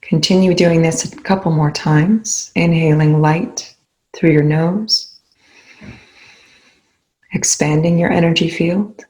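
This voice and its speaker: Woman doing guided meditation, low voice, very even tone, slow phrases w lots of pauses. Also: rough recording.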